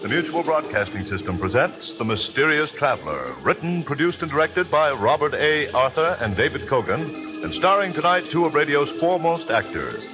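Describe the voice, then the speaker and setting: A man's voice: on the high side, big pitch swings, normal speed. An American man announcing a scripted radio program in a formal 1940s style. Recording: dull 1940s radio recording, organ music.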